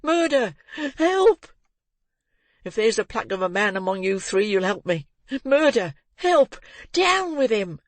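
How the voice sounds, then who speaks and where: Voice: medium pitch, big pitch swings, unhurried, no room sound, voice pushed up. A reader voicing a terrified, defiant young boy who screams for help in a classic audiobook. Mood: frantic defiance. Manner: shrieking, frantic. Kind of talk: audiobook reading of a classic novel.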